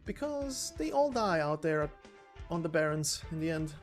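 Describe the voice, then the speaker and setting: A man's voice: fairly high pitch, sing-song, normal speed, faint music behind. A man voicing a chatty, folksy male prison convict who rambles in slangy talk in a video game story. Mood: wistful storytelling.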